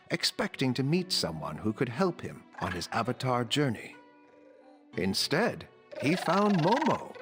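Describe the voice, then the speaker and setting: Wise older male narrator, slow, expressive intonation, solemn, courteous, video game storytelling over music. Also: fairly high pitch. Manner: measured, solemn storytelling with deliberate emphasis.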